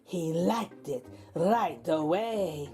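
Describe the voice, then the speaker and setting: A woman's voice: fairly low pitch, very slow, warm tone, soft background music. A woman narrating a children's picture book aloud as host, with theatrical, suspenseful emphasis. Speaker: storybook reader, host.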